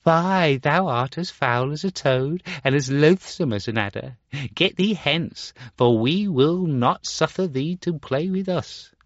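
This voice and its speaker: Jeering storybook children with a high, taunting voice, as a man puts them on. Dull, lo-fi sound, close and dry. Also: animated, measured pace, no room sound. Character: mocking group of children.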